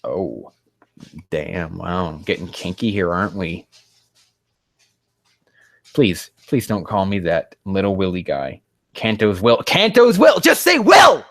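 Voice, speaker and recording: A man's voice: sits low, big pitch swings, slow pace, vocal fry, pauses often, a bit bright, no room sound, clean studio sound. A man hosting a sarcastic reaction reading of fan fiction, with a profane, mocking manner prone to exasperation. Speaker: host.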